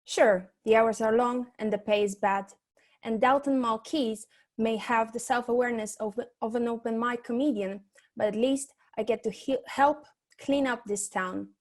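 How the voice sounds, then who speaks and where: A woman's voice: on the high side, steady tone, moderate pace, close to an everyday voice. A woman playing a loyal, wry assistant to a private detective in a comic noir radio play, matter-of-fact in manner. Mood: wry, resolute.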